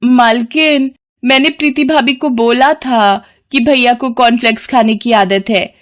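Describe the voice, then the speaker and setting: A woman's voice: a little high, fairly even intonation, measured pace, pitched above the performer's own voice, close to an everyday voice. A woman voicing a defensive household maid who explains herself deferentially in a family story.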